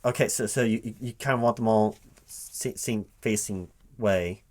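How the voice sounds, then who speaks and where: A man with a fairly low voice plays a recruiting manager in a one-sided ASMR roleplay. He speaks aloud without whispering, haltingly, often stammering and repeating words as if thinking through each reply. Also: darkish tone. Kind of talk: one-sided interview roleplay, soft-spoken ASMR at normal voice.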